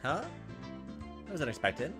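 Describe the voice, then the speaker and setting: A man's voice: on the high side, sing-song, normal speed. A man hosting gameplay, reading a visual novel aloud with casual commentary. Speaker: gameplay host. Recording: loud game music behind.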